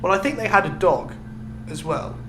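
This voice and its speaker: A man's voice: high-pitched, very animated, moderate pace, music in the background. A man co-hosting a podcast, telling a story in casual chat with an amused, explanatory manner. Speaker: podcast co-host. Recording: clearly noisy recording with hum.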